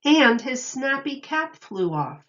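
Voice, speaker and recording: Female storybook narrator, teacher style: very slow, emphatic, expressive delivery, mid pitch, slightly dull recording. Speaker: teacher reading aloud.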